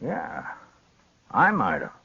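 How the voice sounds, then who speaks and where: An actor playing a blunt, plain-spoken old frontier rancher with folksy rural phrasing in a radio western. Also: male voice, fairly low pitch, wildly swooping pitch, slow pace, vocal fry, sharp tone, breathy edge, heavily acted. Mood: defiant. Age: older. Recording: dull lo-fi 1950s radio recording.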